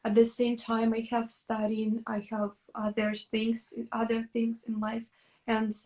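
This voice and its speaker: A young woman from Russia, speaking English as a with a voice a bit higher than most. She talks very slowly, pausing to hunt for the right word. Her manner is thoughtful and unhurried, like casual stream chat. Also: completely flat delivery, a little mellow. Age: young adult. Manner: thoughtful and hesitant, searching for words. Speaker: YouTuber, co-host of a live stream.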